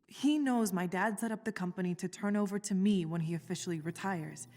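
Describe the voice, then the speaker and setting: A woman plays a young biochemist in a video game, worried yet resolute. She sounds candid and thoughtful at a middling pitch, with a long reverb around the voice. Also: brisk, a little mellow, lightly acted. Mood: troubled. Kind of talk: naturalistic video game voice acting.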